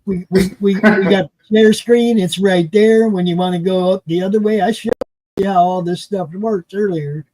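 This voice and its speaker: Guy about 60 on a stream, pretty high voice, loose and jokey, dry close sound. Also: steady tone, mellow, no echo, poor audio. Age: 60s. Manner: loose, joking, talks in quick bursts. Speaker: producer of the stream. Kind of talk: casual chat on a live stream.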